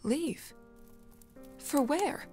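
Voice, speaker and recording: An actress playing a sheltered, defiant young daughter, soft, earnest and emotional, in a period audio drama. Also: female voice, high-pitched, animated, very slow, faint music behind, full character voice. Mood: disbelieving.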